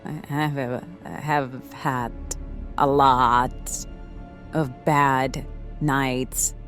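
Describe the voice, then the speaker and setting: Weary, sarcastic diner owner, female, late forties played by an actress. Low register, music underneath, audio drama. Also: level tone, fairly bright tone, heavily acted. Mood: bitter sarcasm. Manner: sarcastic, halting delivery. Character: sardonic, foul-mouthed, weary 47-year-old female diner owner. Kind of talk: horror audio drama acting.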